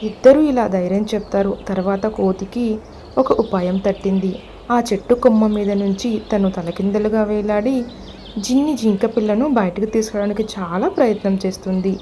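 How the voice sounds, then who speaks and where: Female narrator for a kids' cartoon story: mellow, gentle, expressive, talks to the children. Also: mid-range voice, brisk, slight background noise.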